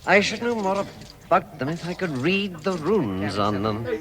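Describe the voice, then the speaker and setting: An actor playing a wise, kindly, commanding old wizard, theatrical and avuncular, in a vintage radio drama. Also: male voice, on the high side, very animated, normal speed, a crowd in the background. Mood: assured, knowing. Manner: theatrical, avuncular, self-assured.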